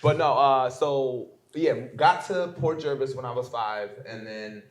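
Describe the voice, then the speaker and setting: A man's voice: medium pitch, measured pace. A man with an American accent telling his story casually as a guest, often checking in with short tag questions. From born in Germany, grew up in upstate New York. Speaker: interview guest, poet. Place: indoor venue live event. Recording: roomy echo of a live venue.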